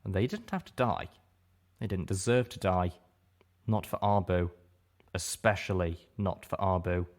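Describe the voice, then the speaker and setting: A man with a fairly low voice reads a story aloud. He narrates in the first person, in a dramatic audiobook style. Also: unhurried, long pauses. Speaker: audiobook reader.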